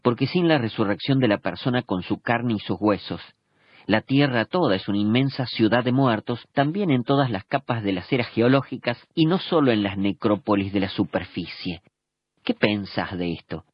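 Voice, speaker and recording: Male radio commentator, solemn measured reading, dry muffled broadcast sound. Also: lowish voice, expressive, slightly rough, no echo. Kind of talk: scripted religious reflection.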